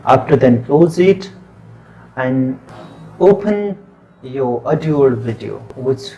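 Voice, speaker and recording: A man with a Nepali accent, a native Nepali speaker, talking through a software tutorial in plain, unhurried step-by-step instructions. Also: medium pitch, lively intonation, highs cut off, soft background music. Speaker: tutorial presenter. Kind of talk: screen-recorded software tutorial.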